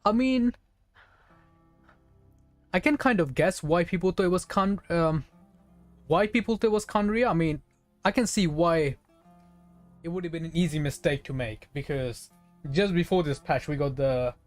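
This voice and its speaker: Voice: medium pitch, normal speed, pauses often. A game streamer chatting casually with viewers, rambling and thinking aloud in a conversational way. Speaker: live streamer playing a video game. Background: game music.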